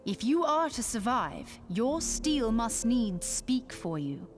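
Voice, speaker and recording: A woman's voice: a little high, very animated, slow. An actress playing a cool, learned, dry-witted female adviser in a fantasy game, with formal, archaic phrasing. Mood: dry, serious. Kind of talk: scripted fantasy game dialogue. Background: game music.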